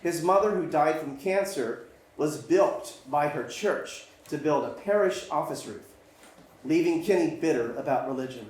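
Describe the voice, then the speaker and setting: A man delivers a prepared introduction as a screenwriter at a live event. His voice sits a little high and rings heavily in an echoey venue. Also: moderate pace, darkish tone.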